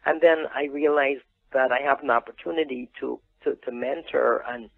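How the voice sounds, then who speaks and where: A man's voice: mid-range voice, fairly even intonation, normal speed, creaky, dry recording. A middle-aged man with a Guyanese accent telling his story conversationally as an interview guest over a phone call. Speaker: interview guest, print business owner. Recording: tinny phone line.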